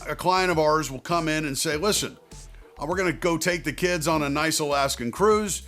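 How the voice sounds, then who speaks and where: A man with a slightly high voice talks directly to the viewer as a financial advisor hosting his own video. His delivery is conversational yet emphatic, with deliberately placed phrases. Faint music plays behind him. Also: fairly bright tone. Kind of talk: direct-to-viewer advice talk.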